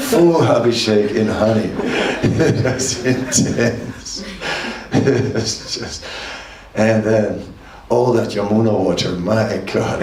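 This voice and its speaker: An older man, a monk giving a temple class, telling stories in an easy conversational way and chuckling often. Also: lowish voice. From Netherlands. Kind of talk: temple lecture with anecdotes. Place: temple hall. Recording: roomy hall from a distance.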